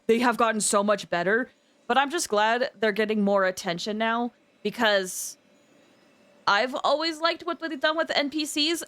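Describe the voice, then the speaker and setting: A woman with a fairly high voice hosts a livestream. She chats casually with her viewers. Her delivery is expressive and emphatic, like someone happy to talk at length. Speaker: livestream host.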